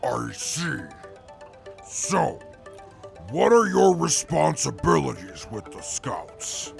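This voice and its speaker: A man playing a stiff, logical intellectual who speaks formally and deadpan in a post-apocalyptic audio drama. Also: on the high side, slow pace, vocal fry, faint music behind, heavily acted. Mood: formal, measured. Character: Atlas, stiff logical field interviewer, amoral intellectual.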